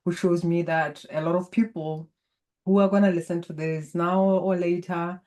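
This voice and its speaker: A woman from Botswana with a Botswana accent, a native Setswana speaker, speaking as an interview guest and energy healer in a calm, measured, spiritual way. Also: on the low side. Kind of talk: interview conversation.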